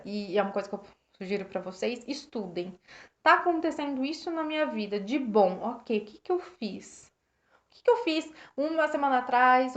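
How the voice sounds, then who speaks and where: A Brazilian woman with a slightly hoarse voice, reflecting casually on a book for her live viewers, with many restarts, thanking people by name. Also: sits high. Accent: Brazilian Portuguese. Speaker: host reading and reflecting on a self-help book. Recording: roomy, dull lo-fi live stream.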